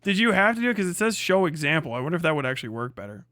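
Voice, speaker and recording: A man's voice: on the high side, big pitch swings, very fast, pushed and bright, dry recording, studio quality. A man hosting a casual livestream, reacting with exasperated, mocking, swear-heavy commentary. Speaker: livestream host.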